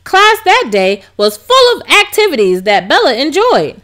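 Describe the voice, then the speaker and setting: A woman reads a children's story aloud. Her voice sits fairly high and moves up and down with lots of expression, in a scripted narration style. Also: wildly swooping pitch, a little slow, fairly bright tone. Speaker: storybook reader.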